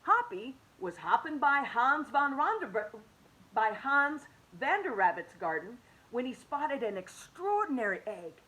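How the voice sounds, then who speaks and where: Animated woman reading a picture book. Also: sits high, a little slow, low-quality recording. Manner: expressive and animated, with soft hushed drops. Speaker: children's story reader.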